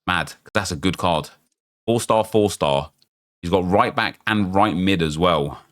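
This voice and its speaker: A man, chatty and excitable, thinking aloud as he opens packs in a football game, losing his voice and getting unwell. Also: deep voice, a little slow. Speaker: gaming YouTuber. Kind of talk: casual pack-opening commentary.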